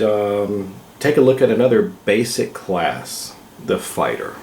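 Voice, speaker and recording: A man with a cold, sounding congested, hosting a casual vlog and explaining things slowly with many pauses and fillers. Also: on the low side, darkish tone, reverberant, slight background noise. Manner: slow, hesitant, with many fillers. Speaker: vlog host.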